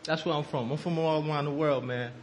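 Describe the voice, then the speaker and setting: A young man from Sierra Leone, raised in Brooklyn and New Jersey, talks as a musician guest on a radio show. His street talk is relaxed and loose, and he keeps checking in with "you know what I mean". A faint hiss sits behind his voice. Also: a little high, quick pace. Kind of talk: casual radio interview.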